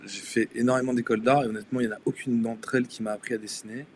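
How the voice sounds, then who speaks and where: A man's voice: mid-range voice, steady tone, very fast, a little hiss or hum. A young man from Denmark, not a native French speaker, chatting as a guest on a live stream and talking hesitantly, with many fillers and restarts. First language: Danish. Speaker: guest illustrator and tattoo artist. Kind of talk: casual live-stream chat.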